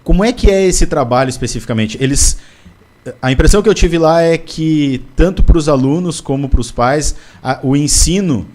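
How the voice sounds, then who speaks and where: A man's voice: fairly high pitch, expressive, normal speed, darkish tone. A man from Brazil, a newspaper interviewer, asking a long, rambling question with hesitations.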